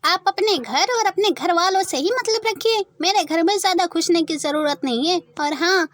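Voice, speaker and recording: A woman's voice: very high-pitched, moderate pace, fairly bright tone, no room sound, studio quality. A woman voicing a young married female crow in a children's cartoon, polite and composed but pointed and firm. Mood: firm, scolding.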